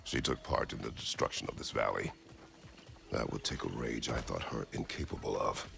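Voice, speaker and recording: A stern older warrior in a game drama, with an extremely deep voice full of heavy gravel. He speaks tersely and gravely, brooding over each short phrase, with music behind him. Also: normal speed, a bit bright, exaggerated voice. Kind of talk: video game drama acting.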